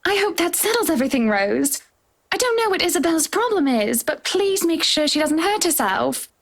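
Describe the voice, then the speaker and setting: A woman voicing a polite, cordial visitor in a visual novel game, with an expressive, courteous delivery. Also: very high-pitched, normal speed, heavily acted. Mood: courteous, concerned.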